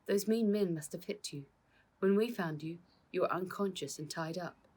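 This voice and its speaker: A woman's voice: fairly low pitch, moderate pace, darkish tone, husky, pitched above the performer's own voice. A woman reading the parts of plucky, earnest children in a storybook adventure, speaking with urgency. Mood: earnest, decisive.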